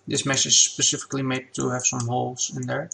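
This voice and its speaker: A man whose first language is Dutch, talking through a software tutorial at his computer in a relaxed, plain step-by-step way. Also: mid-range voice, fairly quick, creaky. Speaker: game asset creator.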